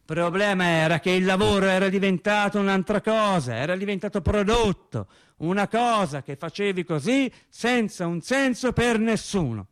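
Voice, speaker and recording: High-voiced, earnest Italian-speaking factory worker. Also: male voice, lively intonation, normal speed. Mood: earnest, rambling. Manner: colloquial, emphatic, self-interrupting, repeats himself. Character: earnest, rambling working-class male factory worker recounting his life. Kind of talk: theatrical radio monologue.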